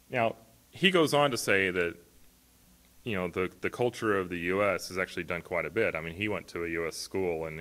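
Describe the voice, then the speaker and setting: A middle-aged man with a low voice gives a conference talk from a podium on a large stage. His delivery is loose and conversational, full of ums, you-knows and self-corrections. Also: bright, forceful tone. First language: not a native Hawaiian speaker. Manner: conversational, frequent fillers and restarts. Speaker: conference speaker. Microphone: podium mic, filmed from far back.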